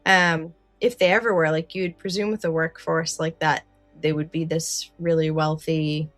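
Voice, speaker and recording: A woman's voice: sits low, level tone, normal speed, fairly bright tone, dry recording. A woman co-hosting a podcast, chatting casually about an old radio show. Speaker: podcast co-host. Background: faint music.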